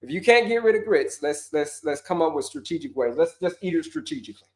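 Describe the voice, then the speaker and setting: A man's voice: a little high, animated, fast. A man coaching on diabetes, answering viewer questions in a casual, emphatic way, repeating points and dropping slang. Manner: repetitive, emphatic, slangy, addresses viewers as folks.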